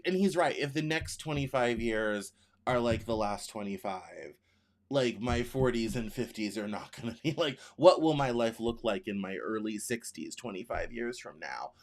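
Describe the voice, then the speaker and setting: A man's voice: mid-range voice, expressive, moderate pace. Rambling man in his thirties hosting. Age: 30s. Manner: rambling, thinks aloud, corrects himself mid-sentence. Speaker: news show host. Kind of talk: casual spoken news commentary.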